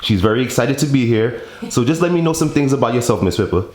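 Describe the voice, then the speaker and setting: A man hosting a podcast, asking questions in a relaxed, informal way. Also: mid-range voice, quick pace. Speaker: podcast host. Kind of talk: casual interview. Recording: roomy room sound.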